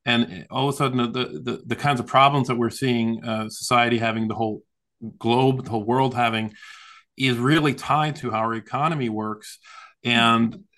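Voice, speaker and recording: A man explaining conversationally as an interview guest, with halting, hesitant phrasing. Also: medium pitch, fairly even intonation, a bit bright. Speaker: guest, author.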